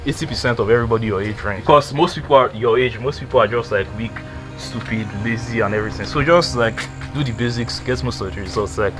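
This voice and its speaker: A man's voice: medium pitch, quick pace, over music. A twenty-year-old man giving casual life advice in a rambling, slangy way, full of "like" and "you know". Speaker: vlogger.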